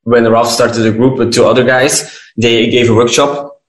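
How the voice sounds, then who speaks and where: A young man with a Belgian accent talks as a guest in an interview. His mid-pitched voice stays very even, heard in a clearly echoey room. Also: moderate pace. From west Belgium. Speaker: podcast guest, dancer and beautician. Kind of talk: interview answers.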